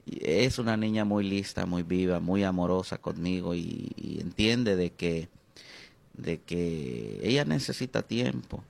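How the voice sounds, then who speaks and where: Deep, gravelly Honduran pastor. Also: male voice, lively intonation, darkish tone. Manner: hesitant, many drawn-out fillers, slows on key words. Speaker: pastor and interview guest. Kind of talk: reflective interview conversation.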